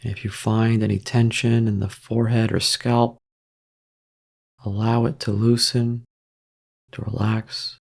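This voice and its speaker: A man leading a guided meditation in a calm, gentle, measured way, leaving long pauses between soft instructions. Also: medium pitch, gravelly.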